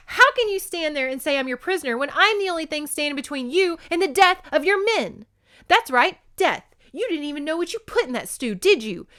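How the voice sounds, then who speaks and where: A woman reading aloud, voicing a feisty young captive woman who talks in high, exclaiming, sarcastic bursts. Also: big pitch swings, normal speed, fairly bright tone, clean tone, dry recording, studio quality. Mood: furious.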